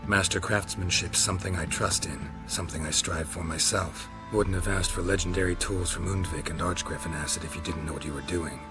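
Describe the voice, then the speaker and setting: A deep-voiced, world-weary monster hunter from a fantasy video game, performed by a man. He is laconic and deadpan, dropping words in clipped phrases, with music clearly behind him. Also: fairly quick, hardly any pauses, lightly acted. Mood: matter-of-fact. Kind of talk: video game character acting.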